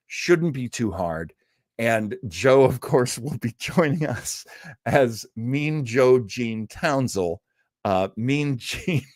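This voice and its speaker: A man hosts a casual live stream with a very animated, mid-pitched voice. He rambles informally through asides and replies to his viewers. The sound is dry and close, with no room around it. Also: no room sound. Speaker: host of a live-streamed radio nostalgia show. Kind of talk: casual chat, reading out and answering live viewer comments.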